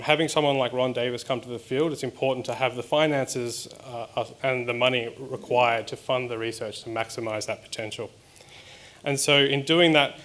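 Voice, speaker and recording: A man's voice: mid-range voice, fairly bright tone. A man in his thirties with an Australian accent, giving a conversational conference talk with frequent ums and restarts. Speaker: research scientist presenting at a symposium. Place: conference hall stage.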